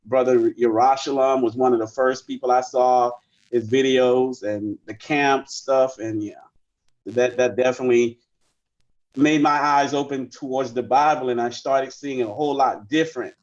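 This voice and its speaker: Relaxed, rambling man in his forties. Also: mid-range voice, normal speed, dull audio. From Bahamas. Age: 40s. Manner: relaxed, rambling with many fillers. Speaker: musician guest. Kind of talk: casual interview chat.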